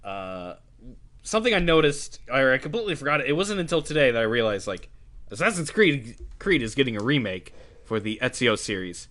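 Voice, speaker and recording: A man's voice: medium pitch, big pitch swings, fairly quick, fairly bright tone. A man co-hosting a podcast in casual chat, jokey and rambling with fillers. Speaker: podcast co-host.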